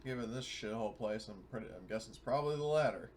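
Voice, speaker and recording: A man's voice: on the low side, quick pace, slight background noise. A man chatting casually as a player in a tabletop roleplaying game.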